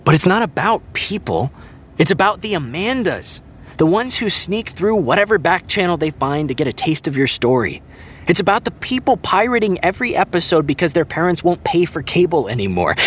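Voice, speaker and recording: A man's voice: fairly high pitch, very animated, brisk, fairly bright tone, a little hiss or hum. A man playing a cocky but earnest actor in his thirties, talking in a laid-back, swaggering way with some swearing. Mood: passionate. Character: male actor, charming, cocky, earnest friend. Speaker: actor in a fiction podcast. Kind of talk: audio drama acting.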